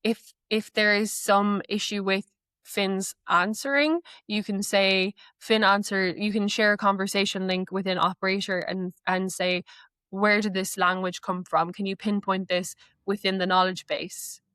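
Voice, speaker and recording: Woman, interview guest, explanatory walkthrough, crisp smooth voice, flat even intonation. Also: mid-range voice, unhurried, no room sound, clean studio sound. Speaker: guest, AI knowledge manager.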